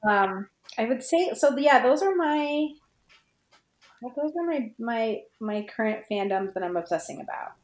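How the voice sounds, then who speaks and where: A woman's voice: on the high side, lively intonation, unhurried, darkish tone. A woman chatting casually as a podcast guest, informal and giggly, thinking aloud as she goes. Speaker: podcast guest, fan fiction writer. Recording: roomy, echoey sound.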